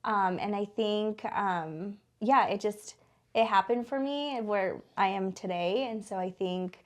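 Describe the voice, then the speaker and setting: A woman's voice: mid-range voice, measured pace. An American woman in her thirties speaking informally as an interview guest, in a chatty way with lots of casual filler words like "like". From born in the Philippines, raised in Denver from age eight. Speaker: interview guest, small business co-owner. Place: small studio.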